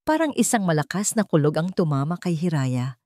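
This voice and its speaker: A woman's voice: low voice, very animated, fairly quick, warm tone, no echo, clean studio sound. A woman narrating a scripted story in a dramatic storytelling style.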